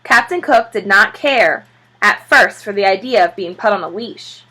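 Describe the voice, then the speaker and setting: Bright, slightly husky woman reading aloud. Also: medium pitch, animated, normal speed, low-quality recording. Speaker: teacher reading a children's book aloud. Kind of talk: expressive read-aloud storytelling.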